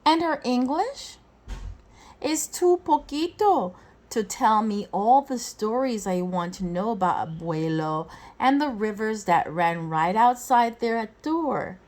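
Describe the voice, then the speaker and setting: A woman reading a children's picture book aloud in an expressive way, phrasing deliberately and acting out the dialogue. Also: on the high side. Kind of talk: expressive storybook read-aloud.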